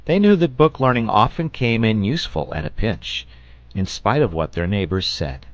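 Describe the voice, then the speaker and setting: Low-pitched, animated male storybook narrator. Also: normal speed, dry recording, muffled recording. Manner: expressive storytelling. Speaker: audiobook narrator. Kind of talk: scripted children's story narration.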